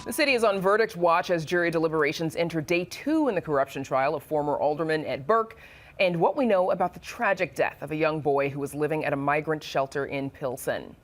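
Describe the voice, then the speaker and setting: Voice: medium pitch, a little fast, faint music behind. A news anchor hosting a broadcast panel, reading introductions and putting questions to reporters in a conversational way.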